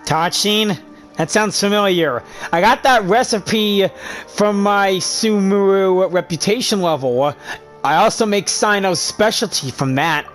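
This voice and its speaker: High-voiced, slow-talking man. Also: a bit bright, soft background music. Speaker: gaming video creator. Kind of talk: game playthrough commentary, reading dialogue aloud.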